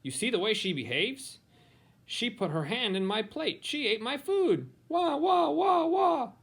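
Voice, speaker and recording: A man's voice: very high-pitched, very animated, unhurried, gravelly, a bit bright, slightly rough, voice pushed up, heavily acted. A man voicing a scandalized, disapproving relative in a storybook, exclaiming indignantly.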